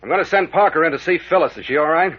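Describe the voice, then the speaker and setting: An actor playing a gruff, commanding captain in an old-time radio mystery, brusque and clipped in his orders. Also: on the high side, rapid-fire. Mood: brisk, probing. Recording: muffled vintage radio recording.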